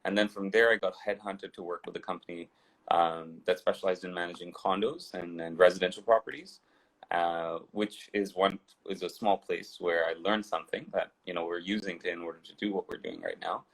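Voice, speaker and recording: A man's voice: deep voice, fairly quick, vocal fry, rough recording. A man in his thirties, raised in Dubai and India, telling his life story as an interview guest with a digressive, restart-filled way of talking. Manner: digressive, rambling with frequent restarts.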